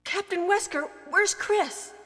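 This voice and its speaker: Very high-voiced anxious policewoman. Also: slow, fairly bright tone, full character voice. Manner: stilted, wooden, over-enunciated delivery. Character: earnest, anxious young female police officer, Jill. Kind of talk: 1990s video game voice acting. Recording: reverberant game audio with music.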